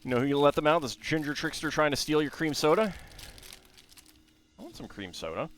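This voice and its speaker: A man's voice: fairly high pitch, animated, brisk, a bit bright, slight background noise. A man casually chatting to his viewers while building a Lego set, reading facts aloud and talking to chat.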